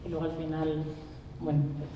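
A woman hosts a seminar and introduces the guests in a loose, rambling way full of fillers. Her voice sits low. She is picked up from far off in a very reverberant hall, with noise in the background. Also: little pitch movement, moderate pace. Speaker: seminar host. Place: seminar room. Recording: distant mic in an echoey hall, background noise.

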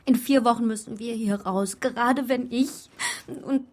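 An actress playing an anxious, guarded young pregnant woman in a naturalistic radio drama, fragile and halting. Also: fairly high pitch, a little mellow. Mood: anxious, defensive.

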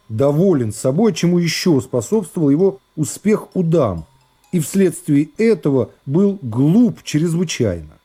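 A man's voice: on the high side, warm tone, slightly rough. A man narrating a classic novel for a radio play in a dramatic literary style.

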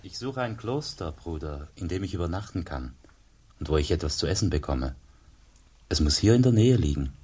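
A humble monk on the road in a radio play, low husky voice, quiet and modest. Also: male voice, lively intonation, moderate pace. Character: humble wandering monk, Father Eugen.

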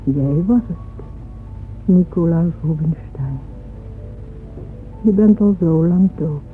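An actress playing a weary old widow who slowly and wistfully muses on the past in a radio drama. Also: female voice, fairly low pitch. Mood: wistful, musing. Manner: slow, musing, reminiscing. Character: weary old widow wistfully remembering long-dead friends. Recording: tinny narrow-band old radio recording, music behind.

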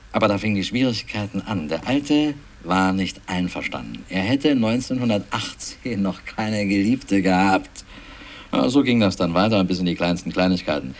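A man playing an earnest film company employee in a radio play, recounting events in a rambling, hesitant way and repeating himself. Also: on the low side. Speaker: interviewee. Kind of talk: documentary-like interview in a radio play. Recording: clearly noisy mock field tape.

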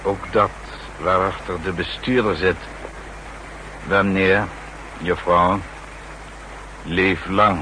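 A very measured, low-voiced henchman of a secret service. He is icily courteous and formal, with menace under the politeness. It is 1960s radio drama on a noisy old recording. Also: fairly even intonation. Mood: politely menacing.

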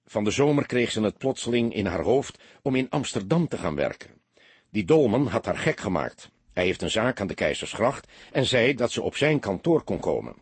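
A man's voice: lowish voice, very animated, moderate pace, husky, dry recording, heavily acted. A man voicing an anxious, earnest young soldier who speaks hesitantly and repeats words in an audiobook story. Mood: earnest explaining.

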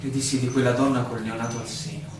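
An actor playing a weary, bewildered land surveyor in a radio drama, theatrical and searching, full of questions. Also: male voice, mid-range voice, little pitch movement, fast, lightly acted. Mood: weary, disillusioned. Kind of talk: Italian stage-style radio drama acting. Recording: very echoey, distant, clearly noisy room recording.

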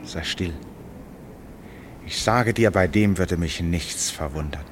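A man's voice: deep voice, takes it slowly, a little hiss or hum. An actor playing an eccentric, stubbornly certain Englishman in a German radio play, declaiming with emphatic, rhetorical delivery. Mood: conspiratorial.

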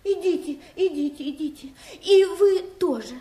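An actress playing a naive, kind young princess in a fairy-tale audio play, talking in a guileless, childlike way. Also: female voice, extremely high pitch, normal speed, warm tone. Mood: coy, guileless. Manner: guileless, childlike, plain-spoken.

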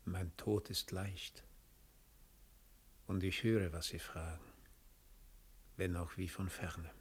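An actor playing a cold, menacing, manipulative doppelgänger in a radio drama, speaking in a deliberate, imperious way. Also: male voice, low voice, steady tone. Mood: dying, faint. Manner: deliberate, imperious, coldly philosophical.

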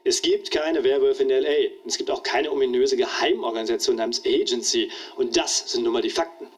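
A man plays a stern, dismissive police chief in a radio drama. He talks fast and husky, with brusque official emphasis. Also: mid-range voice, close to an everyday voice. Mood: brusque, indignant. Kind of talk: amateur horror radio play acting.

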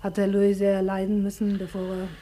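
A woman's voice: mid-range voice, completely flat delivery, brisk, mellow, close to an everyday voice, rough recording. An actress playing a chatty, hospitable woman in a 1950s radio drama, talking in a fond, colloquial and earnest way.

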